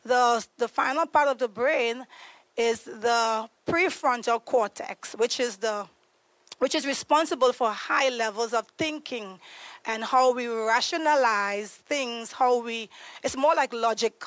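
A woman from Trinidad and Tobago, a podcast guest explaining trauma in an earnest, conversational way, pausing to search for words. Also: fairly high pitch, a bit bright, no echo. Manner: earnest, searching for words, frequent ums and restarts.

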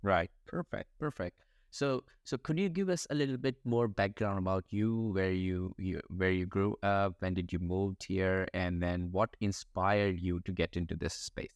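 A male podcast host who asks his guest brisk, upbeat questions. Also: low-pitched, no room sound. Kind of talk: podcast interview.

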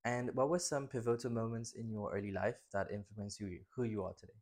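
A man's voice: lowish voice, steady tone, a little fast, darkish tone. A young man hosting a podcast, friendly, asking a student guest questions and chiming in briefly. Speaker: podcast host. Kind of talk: casual podcast interview.

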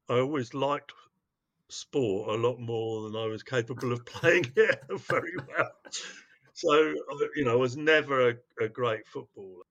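A man's voice: mid-range voice, a bit bright. A man from Wales, a guest on a podcast, telling his story in a rambling, hesitant way over a call. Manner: rambling, hesitant, many restarts and fillers. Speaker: podcast guest, news publication chief executive. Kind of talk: casual interview storytelling. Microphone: call audio. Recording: dull lo-fi call audio.

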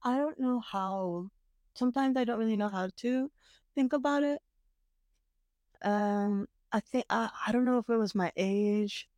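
A woman talking casually with her brother as a podcast guest, reflective and hesitant, with frequent pauses and restarts. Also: a little high, a little mellow, smooth, no echo. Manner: hesitant, reflective, many fillers and restarts, occasional laughs. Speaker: guest, host's sister. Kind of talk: casual conversation. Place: parents' house.

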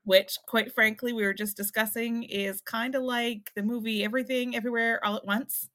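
A woman co-hosting a podcast in casual chat, measured and earnest, often adding clarifications. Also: a little high, fairly even intonation, pushed and bright. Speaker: podcast co-host.

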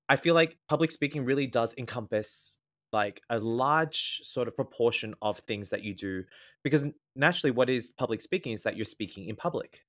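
An Australian man whose voice has a slight nasal edge. He explains his advice patiently in a relaxed interview, setting out each point in turn. His pitch sits right in the middle for a man. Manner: earnest, explanatory, lays out tips in ordered points. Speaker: guest speaker, former event MC. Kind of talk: casual podcast interview.

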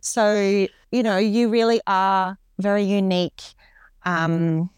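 A woman hosting a podcast, gently guiding the conversation and encouraging her guest. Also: medium pitch, steady tone, slow, clear, resonant tone, no echo. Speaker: podcast host. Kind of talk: casual interview conversation.

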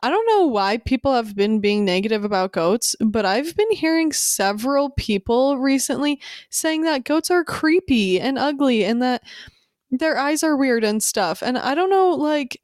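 A woman's voice: high voice, animated, moderate pace, no echo, studio quality. A woman co-hosting a podcast in casual chat, a little stuffy from allergies, bubbly and full of "like". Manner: bubbly, says "like" a lot. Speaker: podcast co-host.

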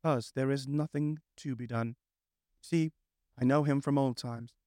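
A man voicing a practical male water rat who speaks firmly and briskly. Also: medium pitch, fairly even intonation, darkish tone, no echo, clean studio sound, lightly acted. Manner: firm, brisk, exasperated.

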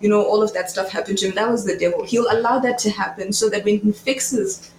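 A woman's voice: mid-range voice, fairly even intonation, quick pace. A young woman on a podcast panel, explaining her thoughts in a casual, informal way. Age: young adult. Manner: informal, explanatory, filler-heavy with "like". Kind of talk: casual faith discussion. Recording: very echoey room, faint noise.

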